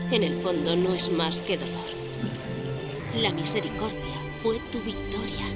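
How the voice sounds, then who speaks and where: Slow, low-toned, solemn young woman. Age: young adult. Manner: measured, earnest, reflective. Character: solemn, reflective young daughter honouring her father. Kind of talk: solemn dramatic game epilogue narration. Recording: very loud music under voice. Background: loud music.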